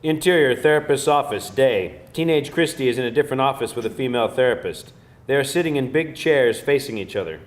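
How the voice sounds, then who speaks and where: A man's voice: mid-range voice, brisk. A man narrating screenplay stage directions in a scripted reading style at a live table read.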